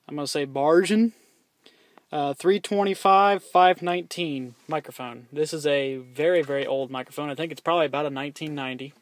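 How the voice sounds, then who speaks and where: A man's voice: on the high side, brisk, no room sound. A young man casually reviewing his CB radio, rambling with lots of ums and restarts. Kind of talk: casual product review vlog.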